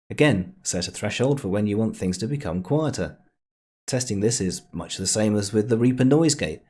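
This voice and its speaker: A man presenting a casual software tutorial in a playful, chatty way with jokey asides. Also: on the low side, slightly rough.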